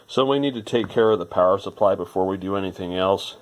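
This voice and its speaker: A man with a very low voice narrates a hands-on electronics repair in an informal way. He thinks aloud as he works, wandering a little from point to point like a hobbyist explaining the job. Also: fast, poor audio.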